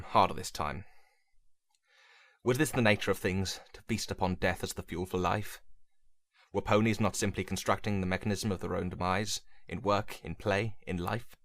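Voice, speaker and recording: A man reading dramatic fiction as a narrator, in a brooding, measured, theatrical way. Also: deep voice, vocal fry, a bit bright, no room sound, studio quality. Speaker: story narrator. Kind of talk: dramatic scripted story narration.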